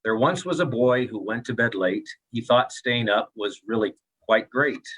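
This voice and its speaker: A man's voice: mid-range voice, moderate pace, a bit bright, slightly rough, poor audio. A middle-aged man, a doctor and author, talking and reading in an easy, plain way. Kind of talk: interview talk and storybook reading.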